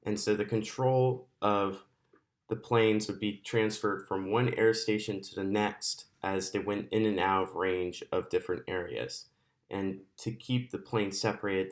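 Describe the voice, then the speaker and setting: Low-pitched man giving a presentation. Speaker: student presenter. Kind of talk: explanatory slide presentation.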